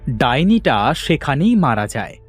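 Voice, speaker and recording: A man's voice: medium pitch, sing-song, unhurried, slightly rough, faint music behind. A man narrating a scripted cartoon fairy tale as a storyteller.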